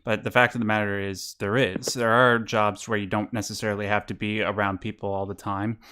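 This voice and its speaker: A man's voice: fairly low pitch, level tone, a little fast, bright, forceful tone, smooth. A man hosting a call-in stream, offering rambling, encouraging advice with lots of fillers and asides. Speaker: host. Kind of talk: casual call-in advice chat. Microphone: video call.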